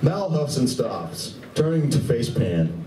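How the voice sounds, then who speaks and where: A man's voice: mid-range voice, big pitch swings, slow, mellow, recorded from a distance, slight background noise. A man giving dramatic scripted narration for a live radio play. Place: live stage reading at a convention. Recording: echoey room, picked up from afar.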